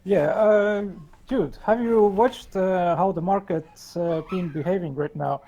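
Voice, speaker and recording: A listener joining a casual chat with a question, talking in a halting way with stammers and restarts. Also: medium pitch, warm tone. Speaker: audience member asking a question.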